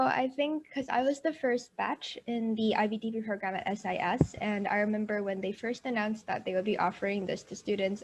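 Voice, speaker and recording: A woman's voice: a little high, poor audio. A young woman with a Texan-tinged American accent, a university student speaking as a guest on a virtual panel, upbeat and chatty. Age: young adult. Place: at home in summer heat.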